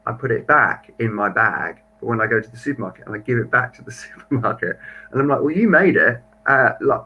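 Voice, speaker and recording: A man's voice: mid-range voice, pushed and bright, a little hiss or hum, poor audio. A young man co-hosting a marine biology livestream in casual, chatty talk. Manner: chatty, reads viewer comments aloud.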